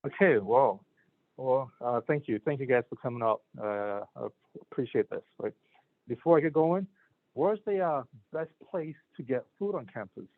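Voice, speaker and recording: A man's voice: medium pitch, lively intonation, moderate pace. A middle-aged man from Ghana, a physician giving a casual talk to students, rambling with many fillers and restarts. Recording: tinny phone-like sound.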